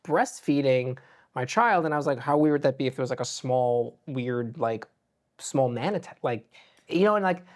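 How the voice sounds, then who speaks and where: A man's voice: mid-range voice, animated, moderate pace. A man co-hosting a casual panel chat, talking loosely and peppering his speech with filler words. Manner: loose and chatty, filler "like" everywhere. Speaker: co-host.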